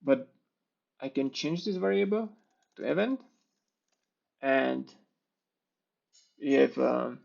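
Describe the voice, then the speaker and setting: Man with a gravelly voice. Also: mid-range voice, takes it slowly, pauses often, dull audio. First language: Czech. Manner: explanatory, steady walkthrough with small restarts. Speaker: conference speaker. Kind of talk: live-coding tech talk.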